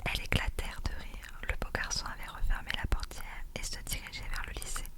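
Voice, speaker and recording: Whispering woman reading a story aloud. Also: brisk. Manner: soft, unvoiced whispering throughout. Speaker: ASMR creator reading a novel aloud.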